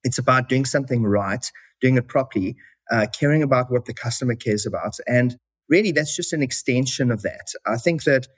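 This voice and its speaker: A man's voice: medium pitch, brisk, no echo. Guest: South African man, reflective, filler-heavy answers, dead-dry recording. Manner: reflective, with many fillers like "you know". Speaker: company chief executive, guest. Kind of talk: interview answers.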